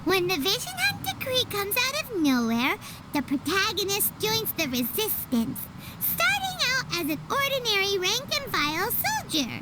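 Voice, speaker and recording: A woman voicing a tiny, excitable fairy companion in a video game, bubbly and eager, with music behind her. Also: very high voice, normal speed, heavy background noise, full character voice. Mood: eager storytelling. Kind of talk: video game character acting. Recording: background music over the voice.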